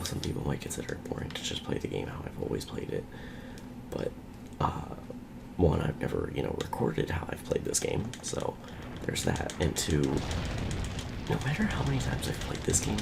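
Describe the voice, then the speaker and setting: A young man giving soft, rambling, half-whispered game commentary, nasal from a cold. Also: extremely low pitch, vocal fry. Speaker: let's play host. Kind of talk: whispered ASMR gameplay commentary. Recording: loud background hiss.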